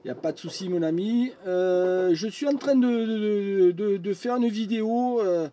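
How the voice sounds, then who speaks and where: A man's voice: sits high, measured pace, no echo. A man, a friendly and chatty amateur radio operator having a casual contact. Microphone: studio microphone at the radio. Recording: clear steady background noise.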